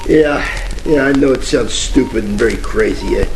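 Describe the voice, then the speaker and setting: A man playing a wry, dazed hard-boiled detective in a 1940s radio parody, with a creaky voice and rambling deadpan delivery. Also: mid-range voice, moderate pace, natural voice. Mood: confused, rueful. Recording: faint music, old radio crackle.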